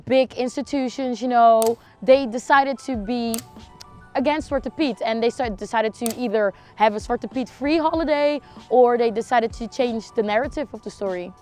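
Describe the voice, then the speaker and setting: High-voiced young woman. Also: moderate pace, dark tone, soft background music. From Netherlands. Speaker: student telling her personal story. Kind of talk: personal testimony, reflective.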